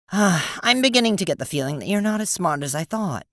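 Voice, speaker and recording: A woman's voice: lowish voice, animated, moderate pace, fairly bright tone, dry recording, clean studio sound. A woman playing a prim, earnest, easily confused alien troll who speaks formally in an audio drama. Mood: confused, exasperated. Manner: formal, wordy.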